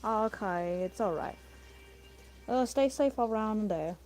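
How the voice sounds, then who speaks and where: A young man with a raspy voice chatting casually while he draws on a stream, rambling with lots of "like" and "you know". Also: high voice, slow pace, darkish tone. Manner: meandering, full of "like" and "you know". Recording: noisy room ambience.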